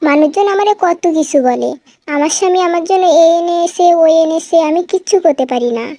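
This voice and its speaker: A woman's voice: very high voice, expressive, fairly quick, dark tone, no echo, studio quality. A woman playing an aggrieved, scolding young wife in a comic cartoon, with a rural Bangladeshi accent. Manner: scolding, wailing, complaining. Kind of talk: melodramatic comic cartoon dialogue.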